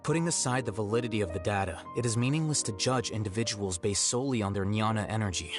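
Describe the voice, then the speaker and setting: An aloof male scholar from a video game, voiced by an actor, blunt and coolly logical. He speaks in a low, measured voice without hurry. Game music plays clearly behind him. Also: natural voice. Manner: calm, measured, detached.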